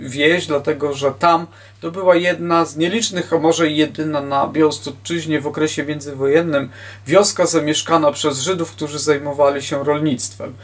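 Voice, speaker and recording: Male lecturer, 50s, slightly high register, hesitant informal delivery with false starts, miked from a distance indoors. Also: steady tone, moderate pace. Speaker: historian giving a lecture. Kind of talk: informal history lecture to camera. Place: book-lined room at home, indoors. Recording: distant microphone in a room.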